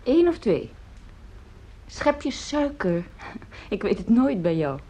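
Radio play mother, middle-aged: warm dark tone, husky edge, animated melody, composed and gently sceptical. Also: fairly high pitch, slow pace, exaggerated voice. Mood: quietly dismissive. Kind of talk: Dutch radio play acting.

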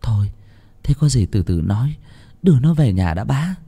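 A man's voice: mid-range voice, sing-song, moderate pace, warm tone, husky. A man voicing an old village grandmother, fretful and exclaiming, in a narrated story. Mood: calming. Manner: urgent, exclaiming, questioning.

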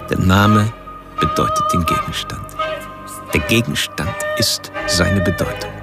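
An actor playing a solemn, philosophising killer, speaking an intense, declamatory inner monologue in a radio drama. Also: male voice, fairly low pitch, huge pitch swings, measured pace, heavily acted. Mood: probing, brooding. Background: music.

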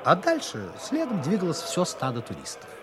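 An actor narrating a 1960s Soviet radio play as a wry storyteller, with theatrical, expressive emphasis. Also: male voice, on the high side, normal speed, heavy background noise. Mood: wry storytelling.

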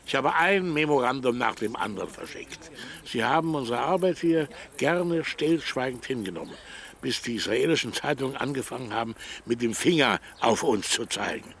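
A brusque, bossy middle-aged professor in a radio play. His voice has a gravelly rasp, and he grows indignant when challenged. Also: mid-range voice, animated, moderate pace, fairly bright tone. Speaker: rocket scientist professor.

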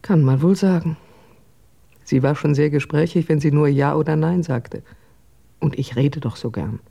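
A woman's voice: low-pitched, moderate pace, vocal fry, dark tone. A woman playing a chatty, self-mocking ageing stage actress in a radio drama, wry and theatrical in manner. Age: older.

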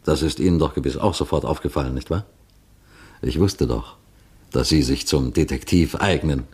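An actor playing a shrewd, self-assured middle-aged detective chief in a 1960s radio drama, explaining things in a measured, knowing way. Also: male voice, rumbling low, darkish tone. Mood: smug, amused.

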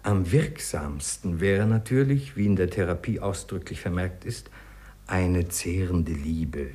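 Casting: eccentric, confident physician, lowish pitch with very lively swoops, theatrical 1950s radio drama. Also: male voice, unhurried. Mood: urging, amused. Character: eccentric, brisk, self-assured male doctor. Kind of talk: 1950s German radio play acting.

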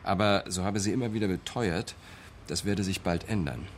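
An actor playing a composed, matter-of-fact police captain in a radio crime drama, giving an orderly briefing. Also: male voice, low voice, moderate pace, a little hiss or hum, lightly acted. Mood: factual briefing.

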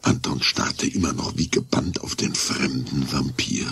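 A man's voice: extremely low pitch, steady tone, a little slow, a bit bright, faint music behind. A man narrating a scripted German children's radio play as a storyteller, with dramatic, suspenseful delivery.

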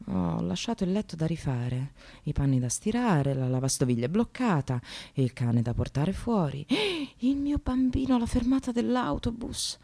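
A woman's voice: lowish voice, a little mellow. A woman playing a disillusioned receptionist and mother in a radio drama monologue, sardonic, emphatic and confessional. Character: precarious receptionist, disillusioned separated mother, bitterly ironic. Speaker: actress narrating a monologue.

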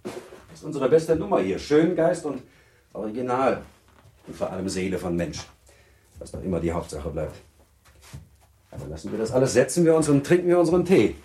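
An actor playing a courteous, gently patronizing baron and older husband in a German radio play, speaking in a measured, formal, reassuring way. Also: male voice, mid-range voice, sing-song, close to an everyday voice. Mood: genial, formal.

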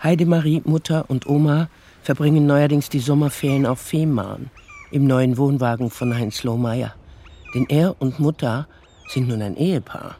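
A man narrating a childhood tale in a German radio play, scripted and measured. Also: mid-range voice, steady tone, dark tone, a little hiss or hum, lightly acted.

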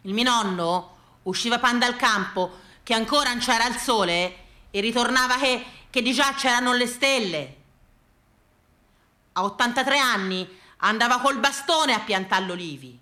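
Bright-voiced Tuscan woman storyteller. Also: a little high, normal speed. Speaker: stage actress and storyteller. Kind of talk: theatrical narrative monologue.

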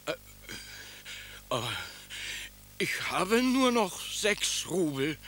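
An actor playing a poor, dutiful street sweeper in a radio play who stammers and keeps answering "jawohl". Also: male voice, high-pitched, very animated, very slow, bright, forceful tone, heavily acted. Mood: humble.

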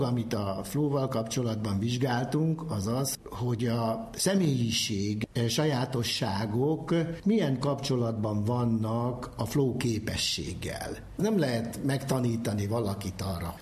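Professor guy explaining research, normal pitch, methodical, phrase by phrase. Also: a little mellow. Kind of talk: explanatory expert talk about research.

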